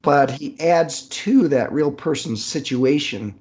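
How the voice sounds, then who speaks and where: A middle-aged man, an author being interviewed, telling things casually in an easygoing, halting way as he searches for words. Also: on the high side, a little mellow, slightly rough. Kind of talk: casual conversational storytelling.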